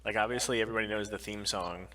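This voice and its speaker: A man's voice: on the low side, steady tone, racing, fairly bright tone, distant mic. A man co-hosting a podcast stream, chatting casually about films and games. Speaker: podcast co-host. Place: live online stream.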